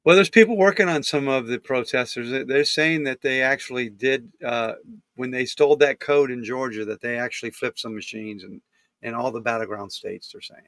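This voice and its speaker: A man's voice: mid-range voice, moderate pace, fairly bright tone, no room sound. A man chatting casually about politics, blunt and opinionated, speaking matter-of-factly.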